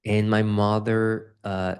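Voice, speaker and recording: Deep-voiced man. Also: little pitch movement, slow, smooth. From Dominican Republic. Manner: earnest, repeats words when starting sentences. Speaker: guest, real estate investor. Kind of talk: casual interview conversation.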